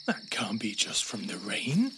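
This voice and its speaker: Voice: mid-range voice, huge pitch swings, unhurried, sharp tone, a little hiss or hum, exaggerated voice. A performer playing a timid, anxious male raccoon in an audio drama, speaking hesitantly and uneasily. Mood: puzzled, worried.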